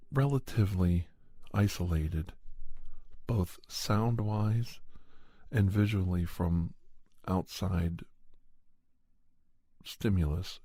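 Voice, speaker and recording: A man with a deep, mellow voice narrates a horror tale as ASMR. His delivery is measured and halting, like a scientist giving his account, and the texture is husky and breathy. The sound is close and dry. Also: no echo. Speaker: ASMR storyteller and podcast host. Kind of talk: ASMR first-person horror story narration.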